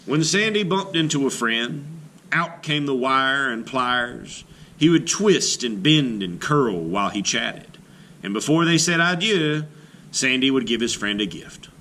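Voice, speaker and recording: Lively man reading a picture book. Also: medium pitch, a little hiss or hum. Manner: expressive storybook reading, slowing on key phrases. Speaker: teacher reading aloud. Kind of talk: children's read-aloud.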